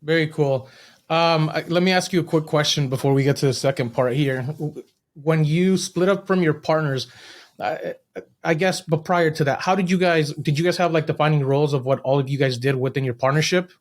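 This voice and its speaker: Higher-pitched American man hosting casually. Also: steady tone, a little fast. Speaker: podcast host, interviewer. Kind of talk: casual interview chat.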